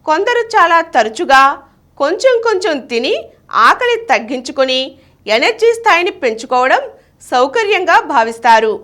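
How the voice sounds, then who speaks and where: A woman's voice: sits high, sing-song, moderate pace, fairly bright tone. A woman reading a scripted news bulletin as a news reader.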